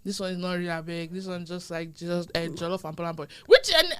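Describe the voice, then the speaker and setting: A woman's voice: lowish voice, brisk. A young woman with a Nigerian accent, chatting casually on a podcast and telling stories with emphasis. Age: young adult. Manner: animated, emphatic storytelling. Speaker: podcast speaker. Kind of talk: casual conversation.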